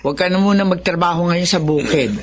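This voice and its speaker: A woman's voice: fairly low pitch, fast talker, noisy background, natural voice. A woman acting a worried, caring wife of an ailing farmer in a Tagalog radio drama.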